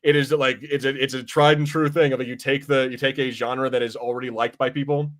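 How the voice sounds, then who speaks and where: A man speaks as a podcast host in a loose review chat. His delivery is informal and rambling, peppered with swearing, at an ordinary middle pitch. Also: little pitch movement, fast, a bit bright.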